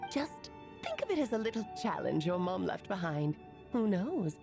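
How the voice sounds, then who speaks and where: A woman's voice: medium pitch, sing-song, normal speed, creaky, over music. A teasing theatrical witch aunt. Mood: knowing. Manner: teasing, theatrical, laughing between lines.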